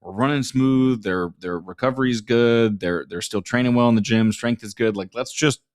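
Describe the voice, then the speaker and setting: A man's voice: mid-range voice, normal speed, a little mellow, dry recording, clean studio sound. A man in his early thirties, hosting a podcast and coaching, talking loosely with lots of "like" and some swearing. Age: 30s. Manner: loose and swearing, many "like" fillers. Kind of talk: casual podcast chat.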